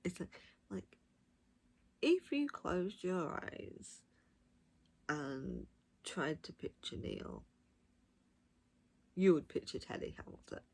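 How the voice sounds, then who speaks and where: A woman giving a casual, unscripted review of audiobooks, gushing and emphatic, speaking in short, halting phrases. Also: fairly low pitch, big pitch swings, creaky, darkish tone, low-quality recording.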